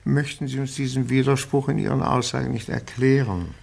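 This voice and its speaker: Radio drama inspector:, gravelly, probing, formal, pressing each point with measured insistence. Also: male voice, medium pitch, a little mellow. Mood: accusing, insistent. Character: stern, probing male police interrogator. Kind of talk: German radio crime drama acting.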